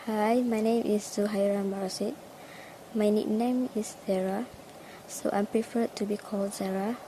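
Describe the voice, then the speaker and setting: A woman's voice: medium pitch, fairly even intonation, normal speed, mellow, a little hiss or hum, rough recording. A woman in her twenties from Malaysia, answering interview questions as a fan base head admin, hesitant with fillers and restarts. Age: 20s.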